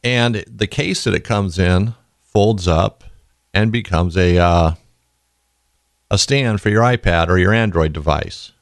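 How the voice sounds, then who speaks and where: A man with a very low voice, full of fry and rasp from a lingering illness. He talks in a loose, rambling way, drifting onto side stories. The recording is dry and close. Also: unhurried, no echo. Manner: rambling, folksy, goes off on tangents. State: raspy from illness, tired. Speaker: podcast host. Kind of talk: casual podcast talk.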